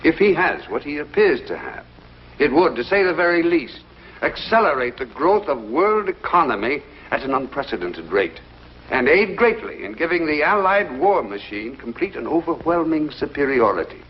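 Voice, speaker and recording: A man's voice: a little high, sing-song. Authoritative male official, mid-century TV drama; formal, probing, very varied intonation, muffled noisy soundtrack. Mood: formal, measured. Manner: measured, formal, deliberate questioning. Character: authoritative, inquisitive male government secretary leading a demonstration. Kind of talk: mid-century American television drama acting. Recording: dull, noisy vintage TV soundtrack.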